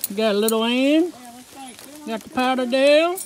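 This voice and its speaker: A man's voice: extremely high pitch, moderate pace, crowd noise. A man on a night hunt outdoors, chatting in an easygoing, joking way. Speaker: hunting companion. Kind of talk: casual chat. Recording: outdoor phone recording with rain noise.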